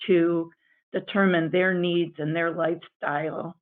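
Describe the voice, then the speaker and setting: A woman's voice: lowish voice, flat delivery, unhurried. A woman interviewer who sums up her guest's points in leading questions. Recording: tinny phone-like line.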